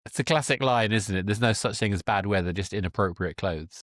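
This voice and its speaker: Deep-voiced man hosting a podcast interview. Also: fairly quick, no echo, clean studio sound. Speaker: podcast host. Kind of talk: interview chat, brief interjections.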